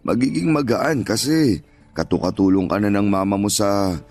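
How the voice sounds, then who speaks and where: A man playing a kind, humble older Filipino street vendor and father, speaking gently and earnestly in a radio drama. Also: lowish voice, normal speed. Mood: earnest, reassuring. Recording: music bed under the voice.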